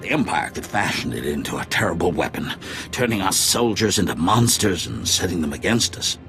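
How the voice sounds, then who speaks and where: An actor playing an earnest, serious government official in a sci-fi video game, courteous and brisk. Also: male voice, sits low, sing-song, a bit bright, soft background music, heavily acted. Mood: urgent. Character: earnest, urgent Republic official. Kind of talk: video game dialogue acting.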